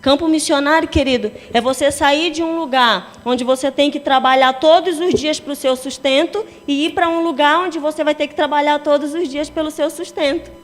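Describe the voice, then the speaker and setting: A woman's voice: sits high, fairly even intonation, normal speed, hardly any pauses. A woman pastor and missionary preaching a sermon with a Brazilian Portuguese accent, often asking the congregation "amém, queridos?". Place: church hall. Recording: long hall reverb.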